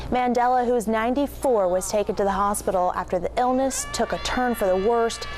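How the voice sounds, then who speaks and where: A woman's voice: on the high side, brisk. Woman news anchor, noisy recording. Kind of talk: scripted newscast delivery. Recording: clearly noisy TV news aircheck.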